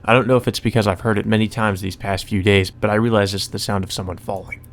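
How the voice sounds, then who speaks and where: A man's voice: on the low side, flat delivery, quick pace. A man hosting a let's play, reading a visual novel aloud and adding casual commentary. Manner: casual, profane asides. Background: music.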